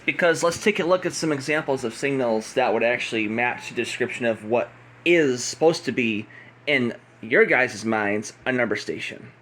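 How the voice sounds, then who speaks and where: A man's voice: mid-range voice, animated, normal speed, fairly bright tone, slight background noise. An American man giving an unscripted, opinionated explainer in a blunt, combative, emphatic manner. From United States. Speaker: radio signal hobbyist.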